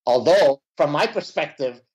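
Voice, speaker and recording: A man who grew up in Lebanon with Arabic as his first language, speaking as a guest in a conversational interview, animated and full of filler sounds. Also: moderate pace. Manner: animated, pitch jumps when stressing points, many filler uhs. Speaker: podcast guest, academic. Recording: dull lo-fi audio.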